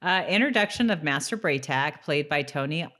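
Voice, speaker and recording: A woman's voice: low-pitched, lively intonation, normal speed, a bit bright. A woman co-hosting a casual rewatch podcast, chatting and at times reading from notes. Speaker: podcast co-host.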